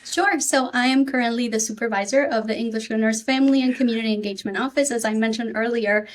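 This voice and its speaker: Woman, native Spanish speaker from Peru, slight accent, somewhat high voice, sincere podcast guest. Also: fairly even intonation, quick pace. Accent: Peruvian Spanish. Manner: earnest, grateful, with frequent ums. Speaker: school district supervisor, guest. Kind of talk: podcast interview.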